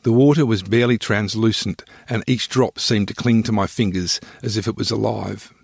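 A man narrating his own scripted travel memoir in a measured, descriptive storytelling manner. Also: fairly low pitch, steady tone, creaky, breathy edge, dry recording, clean studio sound.

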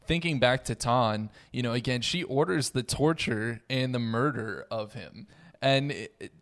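A man's voice: medium pitch, a little slow, a bit bright. A man hosting a casual roundtable discussion, conversational and steering the talk with questions. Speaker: podcast host moderating a roundtable.

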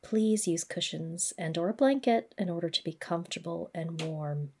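A woman gently guiding a body scan meditation, speaking in a measured, instructional way. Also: fairly low pitch, slight background noise. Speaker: mindfulness practice guide.

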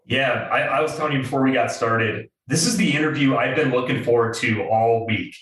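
A man's voice: medium pitch, brisk, fairly bright tone, far from the mic. Enthusiastic male podcast host, roomy sound. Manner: enthusiastic, emphatic. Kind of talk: podcast intro and interview chat.

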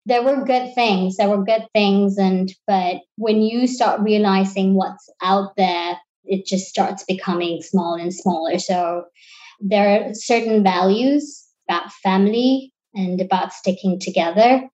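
A woman's voice: medium pitch, unhurried, darkish tone. Woman, 30s, from Sri Lanka, interview guest, reflective, hesitant restarts, slightly muffled recording. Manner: thoughtful, searching for words with restarts.

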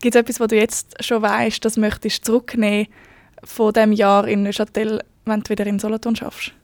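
A woman's voice: mid-range voice, monotone, normal speed, darkish tone, no echo. A woman with a Swiss German accent, hosting a podcast and asking short questions. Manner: brief questions and backchannels. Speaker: podcast host. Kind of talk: interviewing.

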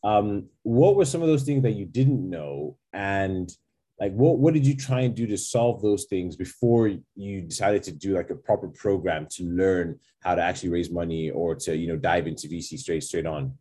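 Guy hosting an interview podcast, husky kinda low voice, informal, asks questions conversationally. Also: moderate pace, mellow.

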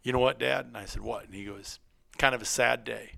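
A man's voice: medium pitch, fairly even intonation, brisk, gravelly, pushed and bright. A middle-aged American man with a joking, folksy manner, hosting a podcast and telling stories casually. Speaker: podcast host. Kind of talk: casual conversational storytelling.

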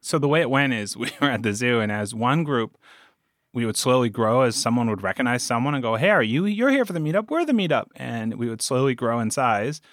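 A man's voice: mid-range voice, very animated, brisk, fairly bright tone, dry recording, studio quality. A man chatting casually as a podcast guest, telling stories in a jokey way and chuckling as he talks. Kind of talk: casual storytelling chat.

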